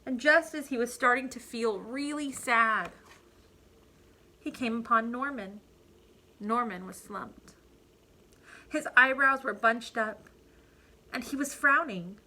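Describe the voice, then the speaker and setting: Very bright, expressive woman reading to children. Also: fairly high pitch, low-quality recording. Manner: deliberate emphasis, asides to young listeners. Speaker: teacher reading aloud to children. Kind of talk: expressive picture-book read-aloud.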